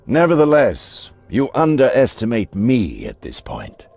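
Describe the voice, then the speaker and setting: An actor playing an aristocratic elder vampire villain in a video game, theatrical and mock-courteous, with a gravelly, husky voice. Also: male voice, medium pitch, huge pitch swings, measured pace, darkish tone, no room sound, exaggerated voice. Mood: smug, threatening. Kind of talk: video game cutscene acting.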